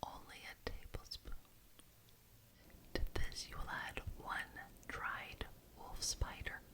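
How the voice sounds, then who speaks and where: A woman performing an ASMR roleplay as a genteel, officious witch, speaking in a breathy whisper and deliberately repeating words for emphasis. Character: genteel, officious witch chairing a neighborhood meeting.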